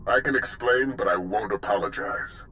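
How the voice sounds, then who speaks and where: An actor playing a proud, stubborn older supreme commander in a video game, gruff and defiant. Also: male voice, fairly low pitch, moderate pace, bright, forceful tone, slight background noise. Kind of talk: video game cutscene acting.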